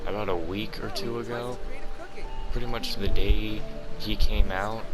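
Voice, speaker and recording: A man's voice: fairly low pitch, steady tone, unhurried. A man giving casual playthrough commentary as a gaming YouTuber. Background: loud game music.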